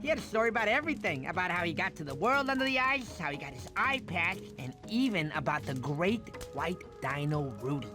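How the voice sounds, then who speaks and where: A man voicing a chatty, warm-hearted, goofy sloth who tells folksy, rambling stories in an animated game. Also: sits high, fairly quick, hardly any pauses, over music. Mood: fond storytelling. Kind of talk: animated game cutscene narration.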